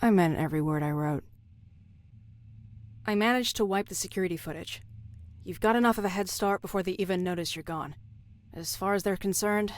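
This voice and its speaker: Woman as a low-voiced, guarded, caring game colleague. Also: natural voice. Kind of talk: dramatic video game dialogue.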